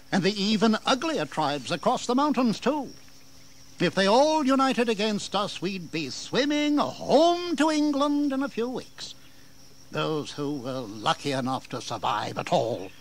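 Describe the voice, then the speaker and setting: A proud colonial governor with a high-pitched voice. He is sardonic and declamatory, in historical radio drama style, over faint noise. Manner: declamatory, sarcastic, aristocratic. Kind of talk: historical audio drama acting. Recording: faintly noisy 1980s drama recording.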